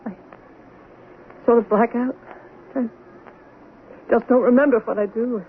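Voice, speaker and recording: An actress in a 1970s radio drama playing a bitter, defensive, hard-drinking wife with a melodramatic manner. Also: female voice, fairly high pitch, steady tone, natural voice. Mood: defensive, shaken. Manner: halting, melodramatic. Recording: tinny narrow-band 1970s radio recording, noisy.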